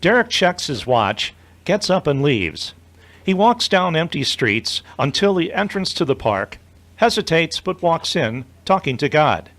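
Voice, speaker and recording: A man's voice: fairly high pitch, very animated, measured pace, fairly bright tone. A man reading scripted narration for an audio drama as its narrator.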